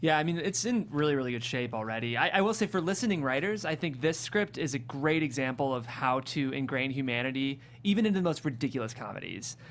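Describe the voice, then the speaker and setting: A man's voice: medium pitch, lively intonation, fast talker, hardly any pauses. A man hosting a casual talk podcast, speaking in a complimentary, encouraging way. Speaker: host, writer.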